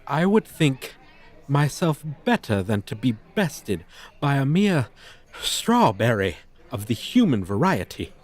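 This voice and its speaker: A haughty dragon teacher, acted out by a man, holds forth in a theatrical roleplay. The delivery is slow and stately, with pompous, over-formal wording. The pitch swings expressively as the proud creature scorns lowly humans. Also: very animated. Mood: flustered, defensive. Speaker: roleplay voice performer. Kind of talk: ASMR roleplay monologue, theatrical.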